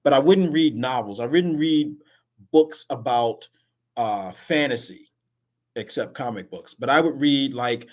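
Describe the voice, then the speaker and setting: A man's voice: medium pitch, measured pace. A man hosting an online talk radio show, speaking emphatically in casual chat and often repeating words as he pushes a point. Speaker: host.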